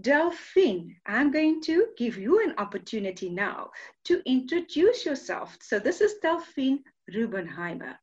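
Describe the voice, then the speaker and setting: A woman's voice: high-pitched, sing-song, unhurried, darkish tone. A woman hosting a radio interview show, speaking courteously and formally with frequent fillers. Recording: muffled lo-fi radio sound.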